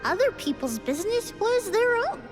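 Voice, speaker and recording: An actress playing a sassy, proud little sprite in a video game, with a cheeky, dismissive manner. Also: female voice, very high voice, big pitch swings, normal speed, music in the background. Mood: musing, aloof.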